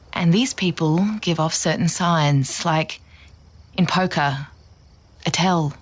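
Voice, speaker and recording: An actress playing an earnest, pushy young podcaster, insistent and persuasive, in audio drama. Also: female voice, on the low side. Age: young adult. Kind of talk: naturalistic audio drama acting.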